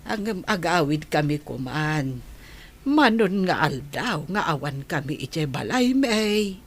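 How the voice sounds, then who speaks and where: A woman's voice: deep voice, big pitch swings, slow pace, full character voice. A woman playing an elderly, tender and forgiving village grandmother with an Ilocano accent in a radio drama. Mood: gentle.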